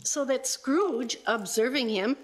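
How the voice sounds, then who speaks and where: A woman's voice: high-pitched, takes it slowly, poor audio. A woman narrating a radio play in a dramatic storybook style.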